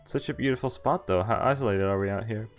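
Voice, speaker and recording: A man's voice: lowish voice, fast talker. A man streaming a visual novel, reading its dialogue aloud and commenting casually. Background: game music.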